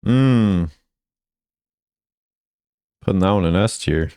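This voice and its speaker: A man's voice: sits low, expressive, slow, dark tone, clear, resonant tone, no echo, studio quality. A man giving offhand, casual commentary as a video creator, musing conversationally as he rates things. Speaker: video creator ranking game voice lines.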